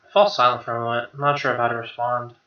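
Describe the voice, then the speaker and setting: A man doing an expressive read-aloud of a game's narration, with casual asides. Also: mid-range voice, quick pace. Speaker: gamer reading a visual novel aloud. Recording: roomy, dull lo-fi mic. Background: game music.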